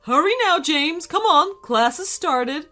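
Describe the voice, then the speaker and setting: A woman puts on a breezy male schoolteacher from a storybook, mysterious and unbothered. The voice is bright, lively and unhurried. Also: high voice, pitched above the performer's own voice, exaggerated voice. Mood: brisk, cheerful.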